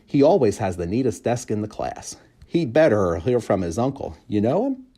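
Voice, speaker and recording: A man voicing a loud, jolly, chummy janitor in a children's storybook, with an exuberant, folksy manner. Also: lowish voice, very animated, moderate pace, slightly rough. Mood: excited.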